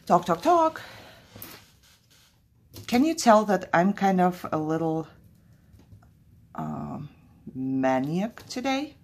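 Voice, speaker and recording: A woman's voice: lowish voice, sing-song, slow, long pauses, darkish tone. A woman from Kazakhstan whose first language is Russian hosts a casual crafting vlog in English. She talks in a chatty, jittery way and laughs often. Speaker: crafting channel host.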